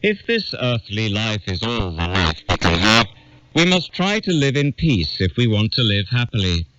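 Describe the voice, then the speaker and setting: Expressive man narrating, dull lo-fi sound. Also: lowish voice, normal speed. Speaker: narrator of a literary reading. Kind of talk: scripted audiobook narration of an essay. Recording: dull lo-fi radio capture.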